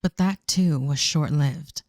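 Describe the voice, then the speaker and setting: A woman's voice: deep voice, slow, a little mellow, no room sound, clean studio sound. A woman narrating a scripted audio drama as a storyteller.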